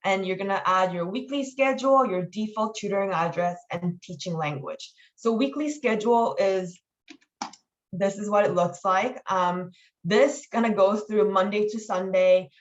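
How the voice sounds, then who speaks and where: Dull lo-fi call audio, woman, medium pitch, casual online trainer, informal step-by-step walkthrough, halting explanations. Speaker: mentor leading an online training meeting. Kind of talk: informal instructional walkthrough with slides.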